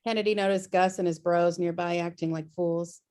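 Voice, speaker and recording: A woman's voice: fairly low pitch, steady tone, brisk, mellow. A woman narrating stage directions from a script during a table read on a video call.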